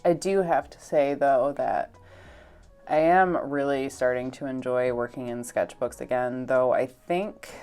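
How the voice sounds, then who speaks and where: A woman's voice: extremely low pitch, darkish tone, clear, resonant tone. A young woman with a congested, stuffed-up voice, chatting casually in a rambling way with many fillers and little laughs. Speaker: art vlogger. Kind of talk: casual voice-over while painting.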